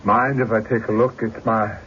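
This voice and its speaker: An actor playing a calm, dutiful frontier deputy in an old-time radio drama, terse and official. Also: male voice, lowish voice, moderate pace, natural voice. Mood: grave, official. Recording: dull, lightly noisy radio recording.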